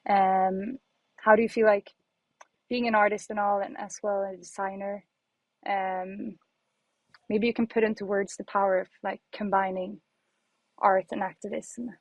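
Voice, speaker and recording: A young woman hosting a video podcast interview, upbeat and quick to laugh. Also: medium pitch, gravelly, no echo, poor audio. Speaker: podcast host, project coordinator.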